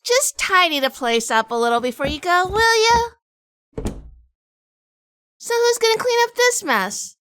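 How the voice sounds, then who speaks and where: A woman's voice: extremely high pitch, sing-song, unhurried, pauses often, bright, forceful tone, no echo, exaggerated voice. A woman playing a sassy, cool-headed gangster's moll with a dry, teasing manner in a noir comedy audio drama. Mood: dry, bossy.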